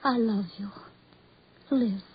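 A dull, vintage radio recording of a devoted, pleading woman. Her fairly high voice is very husky, and she speaks with earnest melodrama in forties radio style. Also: slow, full character voice. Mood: tender, coaxing. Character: tender, devoted blind woman pleading for love. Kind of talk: 1940s radio horror drama acting. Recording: muffled old 1940s radio recording.

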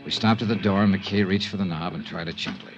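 An actor as a low-voiced, wry American radio detective. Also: male voice, fast, muffled recording, over music, lightly acted. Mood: terse narration. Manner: clipped, matter-of-fact, sardonic. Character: tough, wry male freelance insurance investigator and narrator. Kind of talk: 1950s radio detective acting. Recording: vintage 1950s radio broadcast.

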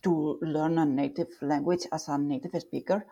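A woman's voice: deep voice, fairly even intonation, moderate pace, mellow, lo-fi sound. Spanish-accented woman explaining research. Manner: thoughtful, with fillers and self-corrections. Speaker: guest researcher. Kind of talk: explanatory interview talk.

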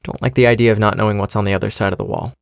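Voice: low-pitched, steady tone, rapid-fire, gravelly, dry recording. An actor playing an anxious, eager young male survivor in an audio drama. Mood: uneasy.

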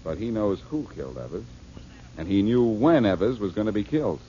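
A man playing a cocky detective lays out how a murder was faked, in a confident tone. His voice is low and lively. The 1940s radio recording is dull and a little hissy. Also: a little fast, natural voice. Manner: confident, explaining.

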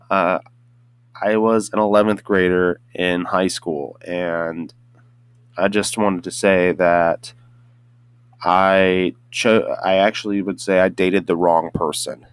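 A young man hosting a casual solo podcast, hoarse and a bit congested from just waking up, rambling with many restarts and fillers. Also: low-pitched. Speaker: podcast host.

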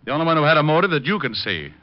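An actor playing a suave, wisecracking male private detective in a 1950s radio drama, dry and quick. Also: fairly high pitch, very animated. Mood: wry. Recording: dull old radio broadcast.

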